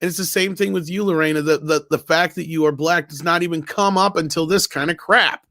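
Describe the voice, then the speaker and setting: A man's voice: a little high, steady tone, brisk, sharp tone, dry recording, clean studio sound. A man hosting a casual panel chat in an informal, rambling way with some swearing. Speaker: panel show host.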